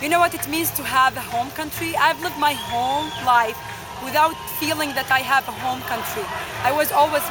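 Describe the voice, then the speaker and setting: A woman giving emphatic, impassioned personal testimony outdoors. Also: sits high. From Syria, grew up mostly in Jordan. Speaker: interviewee at a demonstration. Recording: loud music and crowd, outdoor street. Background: music, crowd, traffic.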